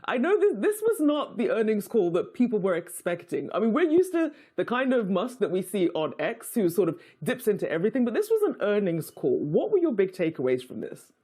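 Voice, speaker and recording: Lively, mellow-voiced woman anchor. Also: mid-range voice, a little fast, few pauses, slightly rough. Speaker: news anchor. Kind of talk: live TV interview.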